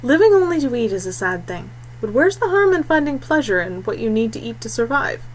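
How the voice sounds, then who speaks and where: A woman's voice: on the high side, very animated, a little fast, a little hiss or hum, rough recording. A woman voicing a good-natured, practical female traveller in an audiobook, explaining things plainly. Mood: easygoing, practical.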